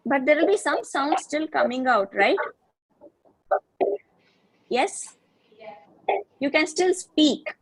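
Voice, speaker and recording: A woman's voice: high voice, expressive, slow, pauses often, no room sound, rough recording. A woman giving an online phonetics lecture, patiently explaining and checking in with frequent questions. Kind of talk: online lecture over video call.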